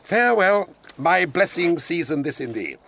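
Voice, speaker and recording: Man acting a gravelly, pompous old father. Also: fairly high pitch, big pitch swings, moderate pace, fairly bright tone, a little hiss or hum, full character voice. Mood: blustering, advising. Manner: declamatory, emphatic, sententious. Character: pompous, wordy old father lecturing his grown children. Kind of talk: Shakespearean stage acting.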